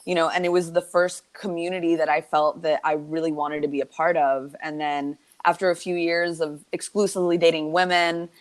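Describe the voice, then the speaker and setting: A woman's voice: deep voice, level tone, fairly quick, hardly any pauses. A woman chatting casually and candidly on a podcast, informal and blunt in her way of talking. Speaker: podcast participant.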